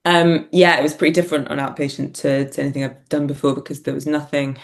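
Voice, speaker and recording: A woman's voice: low voice, little pitch movement, quick pace, creaky. A woman talking casually and reflectively as an interview guest, hesitant and self-deprecating as she thinks aloud. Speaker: podcast guest, writer and performer.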